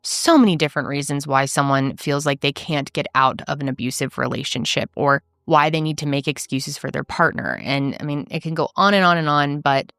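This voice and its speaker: A woman's voice: low-pitched, a little fast, gravelly, hardly any pauses, fairly bright tone, no echo, studio quality. A woman hosting a true crime podcast, telling a case in an earnest, emphatic, conversational way. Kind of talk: casual storytelling narration.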